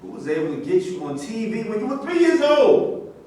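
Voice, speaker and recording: A man's voice: sits high, big pitch swings, brisk, warm tone. A man in a staged reading, playing a condescending, scornful skeptic. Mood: dismissive. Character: condescending, scornful male skeptic who needles rivals. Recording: very echoey room, distant mic, faint noise.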